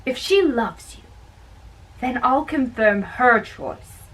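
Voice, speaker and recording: A woman doing an amateur dramatic reading of a play, haltingly, often repeating and correcting her lines. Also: a little high, lively intonation, fairly bright tone, breathy edge, recorded from a distance, poor audio.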